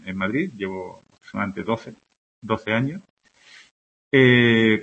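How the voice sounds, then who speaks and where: A man's voice: mid-range voice, a bit bright, husky, poor audio. A middle-aged man with an Andalusian Spanish accent, giving a friendly introductory lecture in a classroom, often checking in with his students. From Andalusia, Spain. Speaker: university access course tutor.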